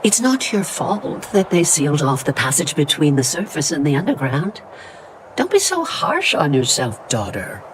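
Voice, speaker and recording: Kind old mother, expressive lilting pitch. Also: normal speed, slight background noise. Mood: tender. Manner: tender, reassuring. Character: kind, forgiving elderly mother, proud and reassuring. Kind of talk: video game voice acting. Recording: music behind the voice. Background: music.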